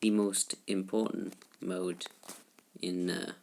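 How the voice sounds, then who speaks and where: A man's voice: sits low, vocal fry. Subdued young male gamer, noisy home recording. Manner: halting and rambling, with many restarts; subdued, somewhat flat delivery. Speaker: gaming YouTuber. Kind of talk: casual gameplay commentary. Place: shared room at home.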